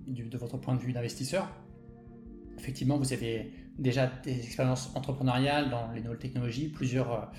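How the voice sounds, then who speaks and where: A male interviewer with an average-pitched voice poses questions formally and carefully, in long winding phrases. The sound is clearly echoey, with light music underneath. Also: steady tone, a little fast, darkish tone. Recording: echoey room, music behind.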